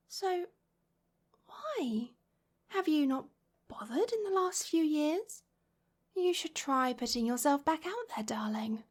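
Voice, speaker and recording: A British woman playing a warm, gently flirty middle-aged mother in a soft, intimate ASMR roleplay, affectionate and coaxing. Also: high-pitched, heavily acted. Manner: affectionate, coaxing, lots of pet names and sighs.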